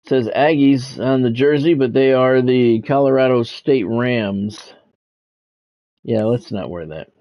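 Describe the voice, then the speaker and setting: A man's voice: medium pitch, unhurried. A man in a mid-range voice talks through a sports video game like a play-by-play announcer at ease. He is conversational and often thinks aloud. The sound is a little dull and lo-fi. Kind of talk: casual live gaming commentary with sportscast touches.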